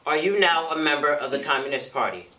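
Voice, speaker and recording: Stern congressional interrogator acted by a man in a recital, official, insistent, bright forceful voice, echoey space. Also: a little high, lively intonation, quick pace. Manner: official, formal, pressing. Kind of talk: dramatic prose interpretation. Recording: echoey room, picked up from far off.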